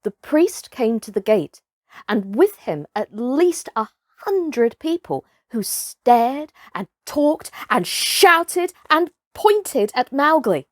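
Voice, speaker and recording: Slow, expressive woman storyteller. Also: high voice, no room sound, studio quality. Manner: deliberate, dramatic storytelling. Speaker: storyteller and host of a children's storytime. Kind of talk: expressive children's audiobook narration.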